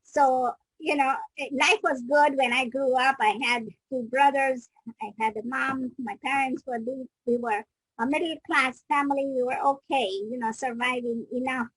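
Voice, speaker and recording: Middle-aged woman from Kenya, interview guest, husky, fairly high voice, reflective storytelling, dry close sound. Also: steady tone, normal speed, no room sound, poor audio. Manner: reflective, restarts sentences mid-thought. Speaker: guest, business coach.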